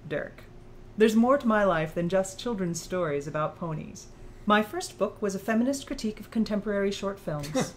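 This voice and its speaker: A woman playing a sharp, articulate female author who challenges and analyzes in audiobook dialogue. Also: medium pitch, animated, moderate pace, a little hiss or hum, lightly acted. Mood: lecturing. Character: sharp, probing female author challenging her own creator.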